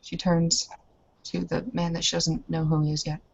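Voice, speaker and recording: A woman narrating her character in an improvised roleplaying game, speaking in a hesitant, halting way on a muffled recording. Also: low voice, monotone, vocal fry. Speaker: tabletop roleplaying player.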